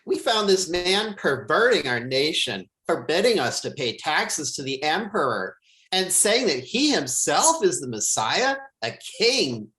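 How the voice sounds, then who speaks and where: Animated scripture reader with swooping pitch. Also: a little slow, low-quality recording. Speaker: pastor reading scripture. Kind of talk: dramatic scripture reading for worship.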